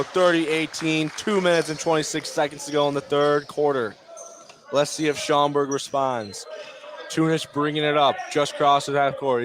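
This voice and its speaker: A man doing live play-by-play basketball commentary in clipped phrases, with excitable calls on big plays. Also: fairly high pitch, noisy background. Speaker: play-by-play sports announcer. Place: courtside at a basketball game.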